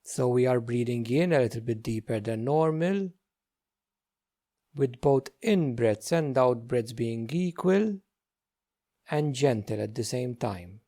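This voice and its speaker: A man leading a guided meditation as a teacher, speaking gently in short, unhurried phrases with many pauses. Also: mid-range voice, lively intonation.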